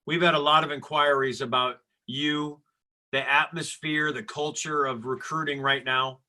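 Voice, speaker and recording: Bright-voiced male interviewer. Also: a little high, fairly even intonation, normal speed, poor audio. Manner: loose, rambling questions. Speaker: interviewer, host. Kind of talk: casual interview questions.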